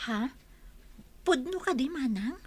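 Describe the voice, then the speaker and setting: A woman's voice: high-pitched, animated, slow pace, a little mellow, husky, dry recording, full character voice. A woman with an Ilocano accent playing a polite but guarded younger married villager in a radio drama. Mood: polite, puzzled.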